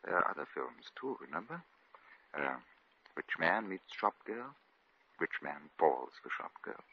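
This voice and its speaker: An actor playing an earnest, lovestruck British lord with a gentlemanly manner in a 1950s radio comedy. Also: male voice, extremely low pitch, big pitch swings, unhurried, breathy edge, full character voice. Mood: earnest, pleading. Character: earnest, lovestruck English lord, gallant and flustered. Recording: dull, lo-fi old radio recording.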